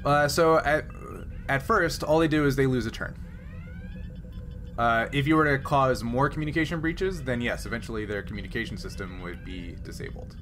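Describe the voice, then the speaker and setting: A man running a tabletop roleplaying game as game master, explaining rules and thinking aloud in a halting way. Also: medium pitch, animated, a bit bright, faint music behind. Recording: noisy background.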